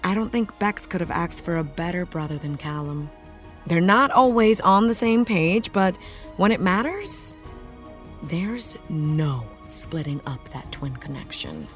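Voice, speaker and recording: A woman playing a fond, expressive actress reminiscing in a documentary-style interview. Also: on the low side, a little slow, faint music behind. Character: affectionate actress reminiscing fondly about her co-star in interview.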